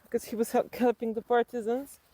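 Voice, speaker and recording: A woman from Slovenia with a Slovenian accent, telling personal stories reflectively in conversation and haltingly thinking aloud as an interview guest. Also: fairly high pitch, flat delivery, mellow, slight background noise, low-quality recording.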